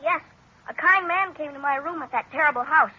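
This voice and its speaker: A woman voicing a young boy in an old radio drama, speaking high, eager and childlike. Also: a little fast, bright, forceful tone. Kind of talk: 1930s radio drama acting. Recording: noisy lo-fi old radio recording.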